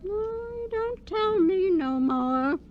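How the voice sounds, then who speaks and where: A woman's voice: extremely high pitch, expressive, slow, muffled recording, soft background music, exaggerated voice. An actress playing an elderly, folksy mother who rambles evasively in an old radio crime drama. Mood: wistful, rambling. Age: older. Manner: folksy, uneducated speech, evasive. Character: cagey, rambling elderly mother in a rocking chair. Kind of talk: 1950s radio drama acting. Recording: noisy old radio broadcast recording.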